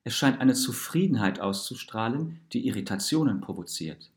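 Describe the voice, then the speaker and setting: A man reading a literary, essayistic story as a narrator, in an expressive and deliberate manner. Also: mid-range voice.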